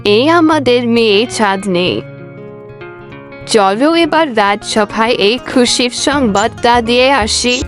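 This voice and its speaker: Woman as a slow, proud mother queen. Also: a little high, faint music behind. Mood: happy. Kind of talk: scripted children's cartoon dialogue.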